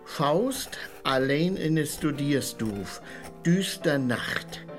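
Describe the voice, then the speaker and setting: An actor playing a grumpy, mocking, down-to-earth sidekick in a comic radio play, with a northern Low German accent. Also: male voice, expressive, extremely slow, faint music behind. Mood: reluctant. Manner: dry, sardonic, grumbling.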